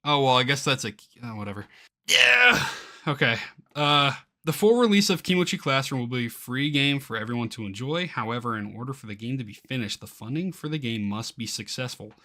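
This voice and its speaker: Excitable man with a bright, forceful voice. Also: mid-range voice, fairly quick. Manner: excitable, easily incredulous, exclaiming. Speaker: YouTuber reacting to a game's crowdfunding page. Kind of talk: casual reaction commentary, reading aloud from a web page.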